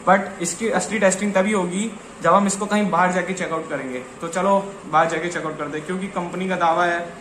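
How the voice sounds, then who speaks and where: A young man chatting casually to camera in an informal, slangy way, often repeating himself. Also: sits high. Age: young adult. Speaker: vlogger. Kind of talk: casual chat to camera, Hindi mixed with English. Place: small living room, later outdoors on open ground. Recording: roomy echo, clear background noise.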